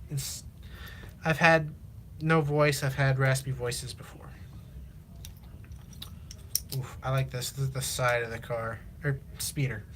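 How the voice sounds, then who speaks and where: A teenage man from Illinois, chatting casually on a live stream while he builds, rambling with lots of fillers, his voice slightly raspy from long talking. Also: medium pitch, a bit bright, slight background noise. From Illinois, USA. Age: teens. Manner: rambling, halting, many fillers and restarts. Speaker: livestreamer.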